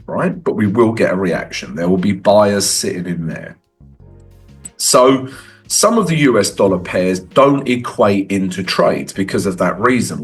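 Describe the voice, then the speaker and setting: A man with a cold walking through trading charts in a casual, conversational way, tagging lines with "right?". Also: fairly low pitch. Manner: conversational, frequent "right?" tags. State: has a cold. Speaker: forex trading educator.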